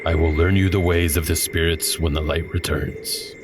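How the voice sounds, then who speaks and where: A man's voice: sits low, steady tone, noisy background, natural voice. A man playing a patient, wise elder. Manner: slow, patient, instructive. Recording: music behind. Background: music.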